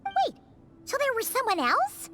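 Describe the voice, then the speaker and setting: A woman voicing a tiny, childlike fairy companion in a video game, candid and excitable. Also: extremely high pitch, unhurried, faint music behind, heavily acted. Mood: baffled. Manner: refers to self in third person, blunt. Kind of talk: scripted game dialogue.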